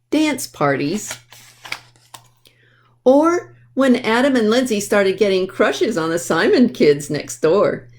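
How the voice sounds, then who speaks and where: A woman presenting a children's library storytime, explaining things simply and addressing young listeners directly as she reads aloud. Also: medium pitch. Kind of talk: reading a picture book aloud to children.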